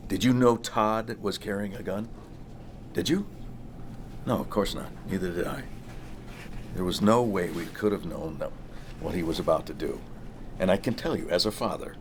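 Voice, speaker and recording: A man's voice: low voice, moderate pace, long pauses, lightly acted. A man acting the part of a controlling, persuasive middle-aged father and former drug boss, insistent and repeating himself, at a table read. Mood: insistent, defensive. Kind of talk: acted script at a table read. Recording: noisy room recording.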